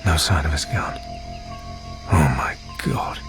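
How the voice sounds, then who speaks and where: An actor playing a hard, dry-witted British secret agent in a radio drama, terse and controlled. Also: male voice, a bass voice, lively intonation, slow pace, soft background music, heavily acted. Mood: wary.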